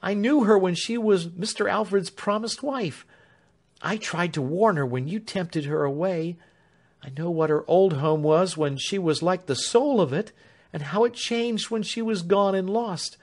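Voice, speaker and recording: A man voicing a distraught, loyal female servant who pleads in a high, breathless voice. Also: unhurried. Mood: pleading.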